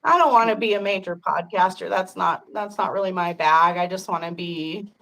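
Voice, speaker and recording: A woman's voice: on the low side, normal speed, gravelly, fairly bright tone, rough recording. A woman in her fifties hosting a casual livestream chat, talking frankly and chattily with frequent laughter and some swearing. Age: 50s. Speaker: podcast host.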